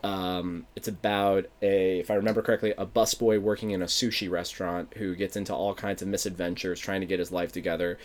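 Low-voiced man with a Philadelphia accent. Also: a little fast, few pauses. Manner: rambling, animated, drops consonants. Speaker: author and playwright. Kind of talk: casual interview chat.

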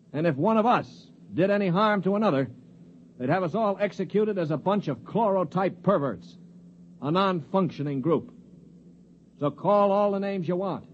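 An actor playing a cynical, sardonic spacer in a 1950s radio drama, with a dry, commanding delivery. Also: male voice, sits high, a little hiss or hum, lightly acted. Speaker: narrator and lead. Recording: dull old radio broadcast.

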